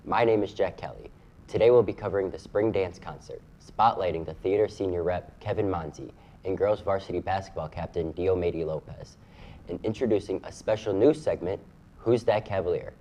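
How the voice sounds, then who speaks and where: A teenage boy hosting a student news show, reading a steady script. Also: low-pitched, a little fast, slight background noise.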